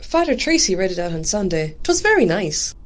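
A woman's voice: on the low side, big pitch swings, a little fast. A woman playing a dutiful, chatty Irish village committee secretary in an amateur radio drama.

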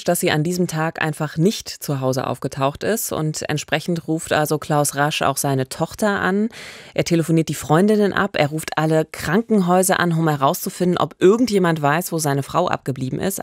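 A woman's voice: sits low, no room sound. A woman co-hosting a true-crime podcast, telling the case conversationally into a studio microphone. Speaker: podcast co-host. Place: radio studio. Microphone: studio microphone up close with pop filter.

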